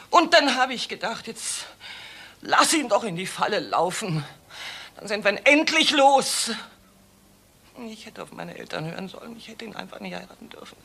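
An actress playing an embittered, defiant wife in a German crime radio play, agitated and repeating herself emphatically. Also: female voice, a little high, fairly bright tone, breathy edge, exaggerated voice. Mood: subdued regret. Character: defiant, embittered wife of a burglar, denying everything.

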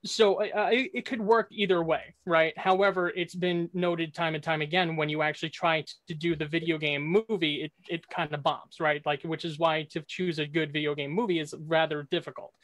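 A man's voice: a little high, fairly even intonation, a little fast, hardly any pauses, fairly bright tone, no echo. A man in a casual debate, explaining his point and often checking for agreement. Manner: seeks agreement with frequent "right?". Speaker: debate contestant. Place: indoor room, video call.

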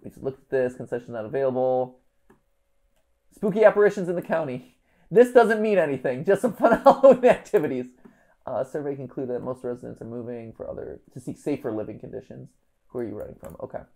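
A man in his thirties talks through a puzzle live in a casual way. His mid-pitched voice has plenty of creak. He mutters unfinished thoughts to himself and leans into the bits he reads out. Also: animated, fast, mellow. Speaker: livestream host. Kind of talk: casual live puzzle-solving commentary, thinking aloud. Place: indoor room, livestream set.

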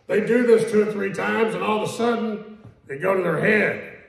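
A pastor preaching a conversational sermon in a church, asking questions, repeating them and quoting scripture slowly. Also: male voice, sits high, gravelly. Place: church sanctuary. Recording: echoey church room.

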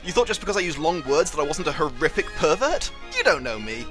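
A fast-talking young man narrates a scripted comedy review, his voice high and lively as it swings up and down. He is dryly sarcastic and breaks into mock outrage now and then. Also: music in the background. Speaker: video essay host.

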